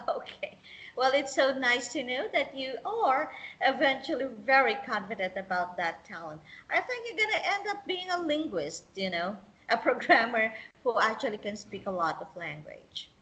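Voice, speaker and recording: A woman's voice: fairly high pitch, animated, normal speed, fairly bright tone, poor audio. A woman interviewing a contestant in English, chatty and encouraging, often laughing. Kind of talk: interview questions, casual.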